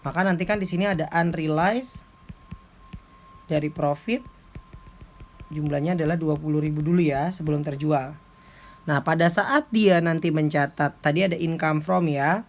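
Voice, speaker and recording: A man explains like an accounting lecturer in an informal tutorial, going step by step in a halting way. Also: on the high side. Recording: clearly noisy background with clicks.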